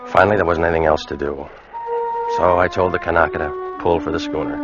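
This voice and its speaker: A man's voice: very deep voice, steady tone, fast talker, over loud music. An actor playing an earnest ship's officer who tells his tale in an old radio drama with grave, tense delivery. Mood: grave narration. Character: earnest, steady ship's officer recounting a haunted voyage. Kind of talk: dramatic first-person narration, 1940s-50s radio acting. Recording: muffled old radio recording, dramatic score.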